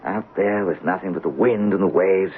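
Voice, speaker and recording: An actor playing a soft-spoken writer in an old radio drama, polite and prone to stammering. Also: male voice, deep voice, normal speed, narrow, tinny audio, natural voice. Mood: polite, hesitant. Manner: hesitant, stammering and apologetic. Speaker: Robin Graves, a writer. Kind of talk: 1970s radio drama acting. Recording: old radio broadcast, phone-thin with hiss.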